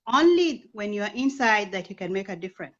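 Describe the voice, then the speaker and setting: A woman's voice: medium pitch, big pitch swings, fairly quick, dull audio. A woman in her thirties who grew up in Kenya, speaking as an interview guest in an earnest, persuasive way and asking rhetorical questions. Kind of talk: impassioned political talk.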